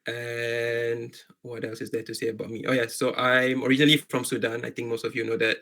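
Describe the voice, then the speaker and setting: A man's voice: mid-range voice, level tone, brisk, bright, forceful tone. A young man with a Malaysian accent giving an informal online talk to students, often repeating words and rephrasing as he goes. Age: young adult. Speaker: medical doctor, guest speaker.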